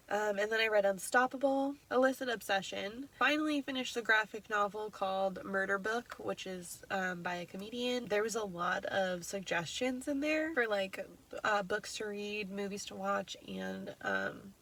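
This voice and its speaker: A woman's voice: mid-range voice, expressive, normal speed, fairly bright tone. A young woman with a stuffy, nasal voice from congestion, chatting casually through a list of books she read, with frequent ums and self-corrections. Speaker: book vlogger. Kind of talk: casual reading wrap-up.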